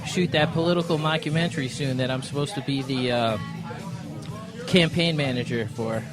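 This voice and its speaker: A man's voice: mid-range voice, moderate pace, soft background music. A man hosting a casual radio show, bantering with enthusiasm and joking along with his guest.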